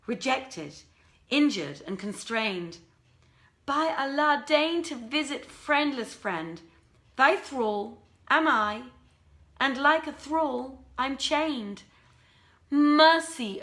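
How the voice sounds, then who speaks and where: A woman's voice: high-pitched, fairly bright tone, pitched above the performer's own voice, exaggerated voice. A woman voicing a lovesick young prince in a storybook reading, with impassioned, archaic declamation. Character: lovesick young prince, tearful, fervent and desperate.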